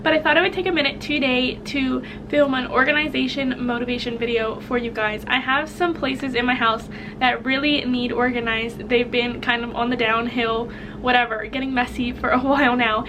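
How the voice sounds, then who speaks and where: A woman's voice: a little high, steady tone, normal speed, background noise. A young woman with a head cold and a stuffy voice, chatting casually to camera about organizing her kitchen, upbeat and friendly. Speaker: vlogger. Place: home kitchen.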